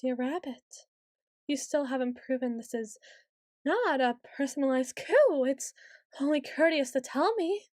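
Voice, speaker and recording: Woman acting as a tsundere vampire lady, high voice, haughty, archaic formal phrasing, ASMR roleplay, very dry close sound. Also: lively intonation, unhurried, darkish tone, dry recording. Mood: hushed wonder. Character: haughty, centuries-old tsundere female vampire noble.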